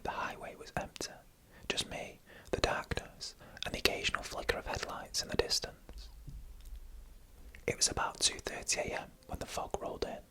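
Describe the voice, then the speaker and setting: A narrator whispering scary stories in ASMR style, slowly and deliberately, with pauses between short phrases. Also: low-quality recording.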